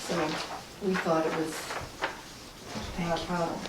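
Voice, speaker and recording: A woman's voice: low-pitched, flat delivery, a little slow. A woman speaking as a resident in an unscripted meeting discussion. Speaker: resident and property owner. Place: indoor town board meeting room. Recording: roomy, distant mic, noisy with clicks.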